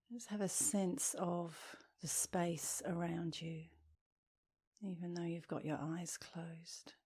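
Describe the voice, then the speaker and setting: Lady doing guided meditation, super slow n gentle, soft breathy endings, lots of vocal fry. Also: deep voice. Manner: soothing, gentle, unhurried with long pauses. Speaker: meditation instructor.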